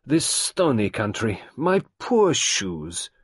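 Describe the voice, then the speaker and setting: A man voicing a dapper little foreign detective in a storybook reading, plaintive and fastidious. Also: fairly low pitch, huge pitch swings, breathy edge, no room sound, studio quality, heavily acted. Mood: mournful.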